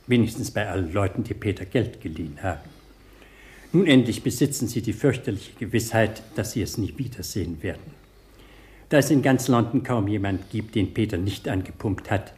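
A man playing a sardonic butler in a radio play, lower-voiced and unflappable. He delivers dark jokes with polished, dry formality. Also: close to an everyday voice. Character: deadpan, ironic butler. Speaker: George.

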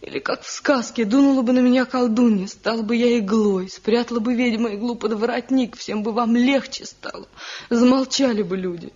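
Actress doing a husky young village wife, plaintive n pleading, old radio play, dull muffled sound. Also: female voice, fairly high pitch, steady tone, normal speed, darkish tone, natural voice. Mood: pleading, despairing. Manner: plaintive, lamenting. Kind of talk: 1970 radio drama acting.

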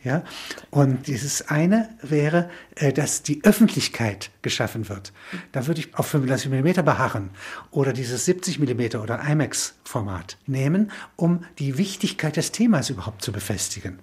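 A man with a very husky, breathy-rough voice at a medium pitch talks as an interview guest. He develops abstract ideas discursively, pausing mid-thought, stressing key words and adding short tag questions. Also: sing-song, darkish tone. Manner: discursive, halting emphatic phrasing with tag questions. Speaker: interview guest explaining a film concept. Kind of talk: spontaneous intellectual discussion.

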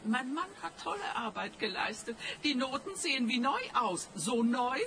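An actress playing a cool, calculating older client in a radio play, composed and insistent. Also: female voice, high voice, sing-song, normal speed, background noise. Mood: explaining, evasive. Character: cool, calculating older female client, a bank robber's wife.

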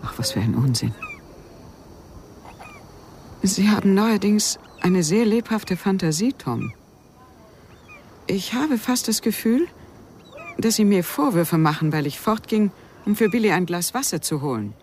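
An actress playing a guarded widow haunted by loss in a radio play, speaking in a measured, pausing way. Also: female voice, mid-range voice, expressive, a little mellow, a little hiss or hum, close to an everyday voice. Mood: defensive.

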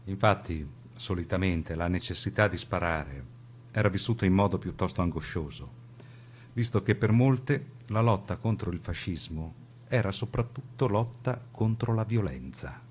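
A man with a fairly low voice reads a scripted radio narration. His delivery is grave and deliberate, phrased like theatre. A faint noise sits behind the voice. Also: expressive.

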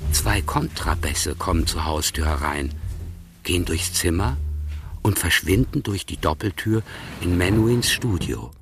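Very low-voiced male radio play narrator. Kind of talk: scripted literary narration.

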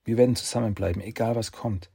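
A determined young woman vows loyalty in a tender, firm voice, as a man reads her part in a storybook. The sound stays husky and gravelly throughout. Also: on the low side, fast talker, mellow, full character voice. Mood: resolute. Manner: resolute, tender.

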